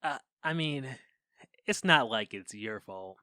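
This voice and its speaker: Bright, stammering, flustered young podcaster. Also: female voice, rumbling low, expressive, slow, no room sound, clean studio sound, full character voice. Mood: awkward. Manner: stammering, nervous, breaking off mid-phrase. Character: flustered, awkward young podcaster, easily smitten. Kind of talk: modern audio drama acting.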